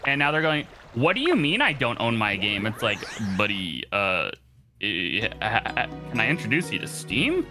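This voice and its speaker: Animated man chatting on a game stream. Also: lowish voice. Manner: halting, joking. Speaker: stream co-host. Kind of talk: casual chat. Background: game music.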